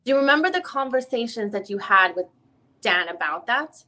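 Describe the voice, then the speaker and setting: A woman reading the part of a female lawyer who questions a witness in a deposition, in halting, rephrased questions. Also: on the high side, lively intonation, bright, forceful tone. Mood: probing. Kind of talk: dramatic reading of a legal deposition transcript.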